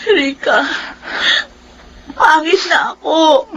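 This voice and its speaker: A woman's voice: sits high, very slow, fairly bright tone, slight background noise, exaggerated voice, rough recording. An actress playing a remorseful, grieving young woman in a Filipino radio drama, with an anguished, pleading delivery. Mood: tearful regret.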